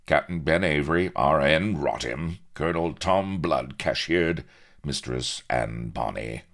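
A man playing a gruff, blunt pirate captain who growls out rulings and commands in a story reading. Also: low-pitched, animated, slow, fairly bright tone, heavily acted. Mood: brisk.

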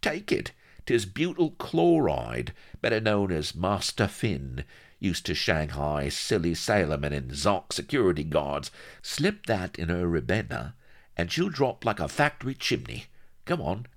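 A scheming little rogue from a comic adventure story, acted out by a male narrator. He is impatient and mocking, talks in archaic slang, and his pitch jumps up and swings about with a gravelly edge. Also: sing-song, a little slow, exaggerated voice. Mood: gruff urging.

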